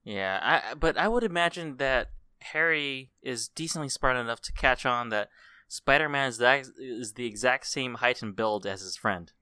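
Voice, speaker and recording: A man's voice: mid-range voice, animated, normal speed, sharp tone, clean tone, no echo. A man co-hosting a casual conversation about movies. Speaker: film podcast co-host. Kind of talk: casual film discussion.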